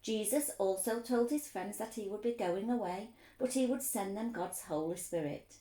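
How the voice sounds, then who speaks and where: A woman reading a story aloud for children, expressive, explaining things simply as a teacher would. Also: mid-range voice, normal speed, reverberant, low-quality recording.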